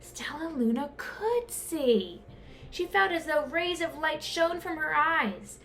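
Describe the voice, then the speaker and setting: A woman reading a children's picture book aloud as an expressive storyteller. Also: high voice, takes it slowly, slight background noise. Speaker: storyteller, teacher.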